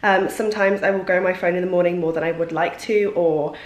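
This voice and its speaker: Young woman, bunged-up nose, brisk chatty delivery, roomy echo. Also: fairly low pitch. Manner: chatty and relaxed, sharing her routine. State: stuffy nose from a cold. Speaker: lifestyle vlogger. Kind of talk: casual vlog voice-over.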